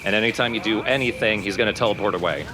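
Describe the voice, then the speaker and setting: A man's voice: fairly low pitch, very fast, rough recording. A man giving casual, explanatory gameplay commentary in an informal way. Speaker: let's play commentator. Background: game music.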